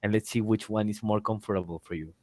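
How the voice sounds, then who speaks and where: A man's voice: fairly low pitch, fairly even intonation, fast, no room sound. Guy teaching english exam prep on a call, explains stuff and repeats himself, very dry sound. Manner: explanatory, repeats phrases and spells letters aloud. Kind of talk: informal online exam lesson. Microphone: online call microphone.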